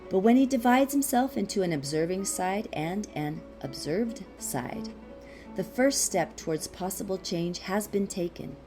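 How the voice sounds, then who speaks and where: A woman reading a philosophical text aloud as scripted narration, in a measured, deliberate way with pauses. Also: mid-range voice, lively intonation, over music. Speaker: audiobook reader.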